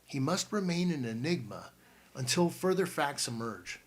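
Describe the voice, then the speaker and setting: A man's voice: on the high side, sing-song, moderate pace. A man reading an audiobook and voicing a bookish teenage boy who leads the group and speaks in formal, precise words.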